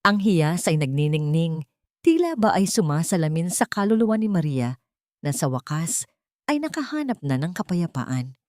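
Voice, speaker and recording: A woman's voice: on the low side, sing-song, normal speed, darkish tone, no room sound, clean studio sound. A woman with a Filipino accent narrating a dramatic story from a script. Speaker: audiobook narrator.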